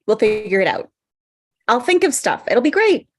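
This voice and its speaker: A woman plays an eager but anxious maid of honour in a comedy screenplay. Her voice is fairly high, with an earnest, slightly hesitant manner and modest temper. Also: very animated, moderate pace. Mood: uncertain. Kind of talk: screenplay table read.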